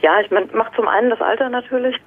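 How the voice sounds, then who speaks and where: A woman's voice: fairly high pitch, quick pace, a little hiss or hum. A woman singer-songwriter talking as an interview guest, hesitant with many fillers and restarts, heard over a phone line. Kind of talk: casual radio interview by phone.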